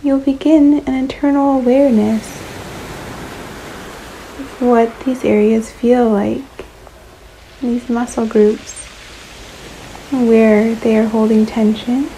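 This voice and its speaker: A woman leading a guided relaxation meditation in a soothing, unhurried manner, leaving long pauses between short phrases. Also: fairly high pitch, fairly even intonation, dark tone, slight background noise, poor audio.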